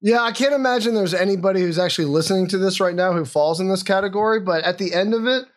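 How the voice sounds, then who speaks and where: A middle-aged man from the United States co-hosting a sports podcast, talking in an easygoing, earnest way that gets animated. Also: sits high, fast. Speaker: podcast co-host. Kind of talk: casual sports podcast chat. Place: home in Austin, Texas.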